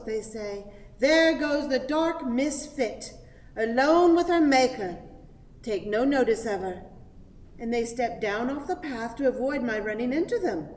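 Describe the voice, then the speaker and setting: An actress playing a prim, pious, dreamily distracted spinster in a radio play, with an affected, fluttery, sanctimonious manner. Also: female voice, on the high side. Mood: affected, dreamy. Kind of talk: theatrical radio play acting. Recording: echoey hall with long reverb.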